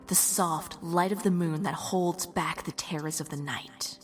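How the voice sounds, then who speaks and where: A woman playing a devout, earnest warrior with a breathy, husky voice and solemn delivery in an audio drama. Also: lowish voice, fairly even intonation, unhurried, faint music behind, lightly acted. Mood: solemn, pleading. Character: devout, earnest female warrior facing condemnation.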